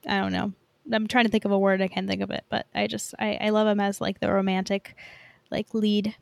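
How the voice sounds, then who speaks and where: A woman's voice: medium pitch, flat delivery, brisk, creaky, dry recording. A woman co-hosting a podcast in casual pop-culture chat, informal and enthusiastic. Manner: informal, enthusiastic, swears freely. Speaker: podcast co-host.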